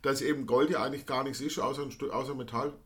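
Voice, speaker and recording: A man's voice: mid-range voice, fairly even intonation, fast, a bit bright. A man co-hosting a casual podcast, agreeing readily and hesitating with fillers as he thinks. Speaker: podcast co-host.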